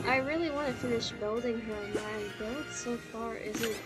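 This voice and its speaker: Young female streamer, higher-than-average pitch, casual slangy reactions, prominent game music behind her. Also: moderate pace. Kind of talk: casual live gaming commentary.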